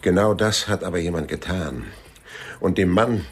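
A man's voice: low-pitched, normal speed, slightly rough. An actor playing a laconic, probing private detective who narrates his case tersely and matter-of-factly in a German radio crime drama. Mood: probing, dry. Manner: dry, matter-of-fact, terse.

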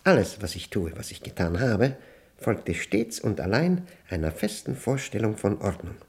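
An actor playing a reclusive, fussy older mathematics professor in a radio play monologue, speaking formally and deliberately with an uneasy, hesitant manner. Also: male voice, fairly low pitch, very animated, a little mellow. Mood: pedantic, aloof.

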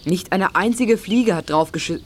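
A woman's voice: on the low side, normal speed, a little hiss or hum, close to an everyday voice. A woman playing a confident, clever boy detective who leads his friends in a German children's audio drama, explaining things assertively.

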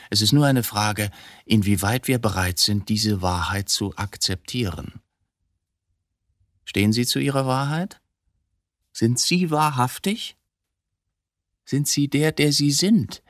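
An actor in a radio drama playing a coolly provocative, philosophical man who has confessed to killing his wife, speaking deliberately in probing rhetorical questions. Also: mid-range voice, lively intonation, fairly bright tone, no room sound, studio quality. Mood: calm, insinuating, then intense, challenging.

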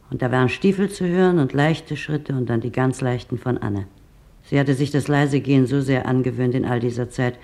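A man playing a quiet, shaken witness who recounts a wartime arrest in a measured, detailed way, as in a radio documentary. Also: medium pitch, natural voice. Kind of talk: dramatized documentary monologue in German.

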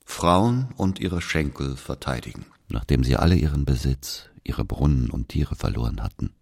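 A man playing a brooding figure who recalls his murdered brother in a measured, solemn literary monologue. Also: very deep voice, expressive, gravelly, darkish tone, husky, full character voice. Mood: somber.

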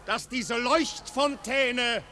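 A man's voice: very high-pitched, very slow, heavily acted. A man playing a snobbish marquis in a 1950s radio play, addressing his guests in formal, grandiloquent declamation. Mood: ceremonious, defiant. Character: snobbish marquis, a grand ceremonious host of lavish parties. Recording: noisy old radio recording with loud crowd.

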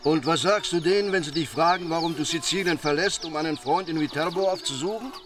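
An actor playing a sceptical mob accomplice who asks probing, sardonic questions in a radio crime drama. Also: male voice, on the high side, fast, over music, close to an everyday voice.

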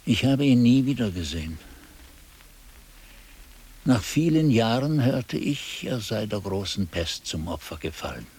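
A man's voice: on the low side, big pitch swings, a little mellow, breathy edge, heavily acted. An actor playing a weary, disillusioned old monk who solemnly recounts his past in a radio drama. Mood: wistful, resigned. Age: older. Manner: solemn, deliberate.

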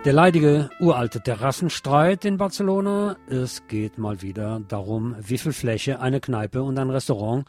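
A man's voice: mid-range voice, measured pace, few pauses. A man presenting radio news with sardonic, opinionated commentary. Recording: music bed under the voice. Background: music.

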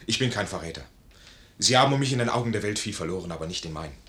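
An actor playing a proud, ardent army major in a 1950s German radio play, with earnest, formal stage diction. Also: male voice, deep voice, expressive, rapid-fire, a bit bright. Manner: earnest, theatrical, formal classical phrasing. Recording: noisy 1950s radio recording.

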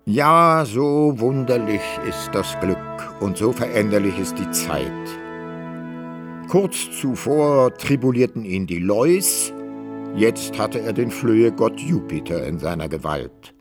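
Guy narrating an old classic radio play, slow n deliberate, deepish voice, storyteller vibe. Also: expressive, music in the background. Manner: deliberate, rhetorical. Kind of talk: scripted literary storytelling narration.

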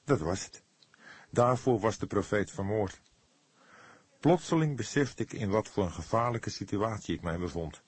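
A man's voice: fairly low pitch, breathy edge, dry recording. Een man die in een luisterboek een angstige jonge verdachte speelt, verdedigend, oprecht, emotioneel en soms haperend. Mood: shaken, emotional. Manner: halting, pleading, earnest. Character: anxious young male suspect, defensive and emotional. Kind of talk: audiobook character dialogue.

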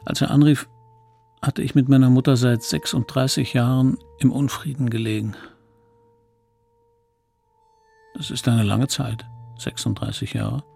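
A middle-aged man looks back wistfully in a radio drama. His voice is middling in pitch and gravelly, his storytelling measured and sombre, with faint music underneath. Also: fairly even intonation, dark tone. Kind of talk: radio drama first-person narration.

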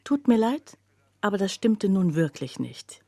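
Husky, mellow woman, an earnest worker. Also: medium pitch, sing-song, a little slow, no echo. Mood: indignant. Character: earnest working woman recounting her factory's closure. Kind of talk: literary radio play, collective first-person narration.

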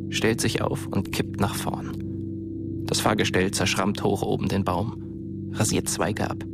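Radio drama, cool, mocking storyteller, low gravelly delivery under prominent music. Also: male voice, flat delivery, unhurried. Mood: cool, hushed. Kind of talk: literary radio drama narration.

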